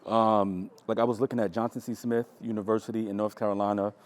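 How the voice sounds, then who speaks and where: A man in his forties, a mentor leading a relaxed panel chat in a loose, reflective way. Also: on the low side, fast talker, a little hiss or hum. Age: 40s. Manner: reflective, loose, asks with repeated questions. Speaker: staff mentor leading the discussion. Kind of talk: casual panel conversation.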